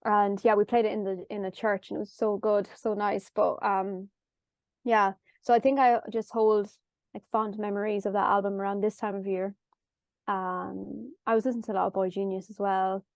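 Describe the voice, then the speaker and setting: A nasal Irish woman with a Galway lilt sits in for a casual chat, her voice high and bright in the nose. She tells her stories easily and acts out what she said at the time. The sound is close and dry, with no room around it. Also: little pitch movement, normal speed, vocal fry, no room sound. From Ireland. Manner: chatty storytelling, acts out her own past lines. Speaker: musician guest.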